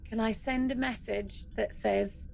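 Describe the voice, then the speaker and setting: A woman's voice: fairly high pitch, fairly even intonation, measured pace, gravelly, a little mellow, slight background noise. A woman chatting casually as a player in a tabletop role-playing game, hesitantly thinking aloud.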